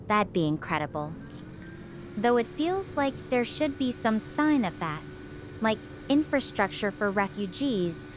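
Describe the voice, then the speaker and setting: A woman's voice: medium pitch, lightly acted. Woman playing an earnest stranded researcher, thoughtful and determined, over a noisy recording. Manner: earnest, thoughtful, talking through her reasoning. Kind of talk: conversational audio drama over a video call.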